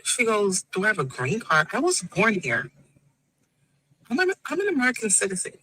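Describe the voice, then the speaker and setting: A West Indian woman rants into her phone, cursing hard and playing every person in her story. Her voice is sharp and very bright, and it swings high and low. The sound is dry and close. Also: huge pitch swings, moderate pace, no echo, low-quality recording. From West Indies. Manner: profane, emphatic, acts out each person in the story. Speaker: woman in a played social media clip. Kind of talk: angry storytelling rant.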